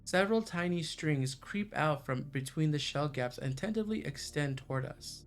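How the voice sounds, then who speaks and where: A man's voice: fairly high pitch, expressive, moderate pace, faint music behind. A man playing an anxious young man who narrates a visual novel in the first person, with an everyday voice. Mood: tense, watchful.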